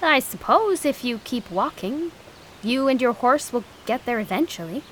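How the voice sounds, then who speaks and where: A woman's voice: high-pitched, animated, normal speed, a bit bright, a little hiss or hum. A young woman playing a sweet, innocent, dreamy fairytale maiden with a lilting, wide-eyed delivery, in an audio drama. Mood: wary, polite.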